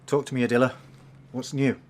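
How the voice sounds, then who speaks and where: An actor playing a stubborn, driven intelligence handler with a British accent, terse and defensive, in a radio drama. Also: male voice, medium pitch, little pitch movement, a little fast, background noise, lightly acted. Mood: brisk.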